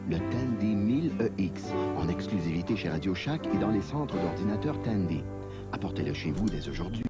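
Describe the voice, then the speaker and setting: A man narrating a scripted commercial as an announcer, with a lively delivery. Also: low-pitched, brisk. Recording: old TV ad with loud music. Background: loud music.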